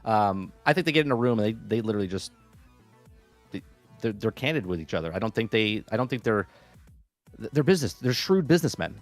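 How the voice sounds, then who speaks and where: A man's voice: lowish voice, animated, a little fast, pauses often, soft background music. A man guesting on a gaming podcast, talking casually with rhetorical questions and stop-start phrasing. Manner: hesitant, many fillers and restarts, rhetorical questions. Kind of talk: casual gaming news chat.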